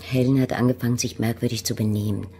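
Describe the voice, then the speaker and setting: An actress playing a reserved, wary middle-aged mother in a German radio play, with a husky voice. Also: a bass voice, fairly even intonation, fast, dark tone, slight background noise. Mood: guarded.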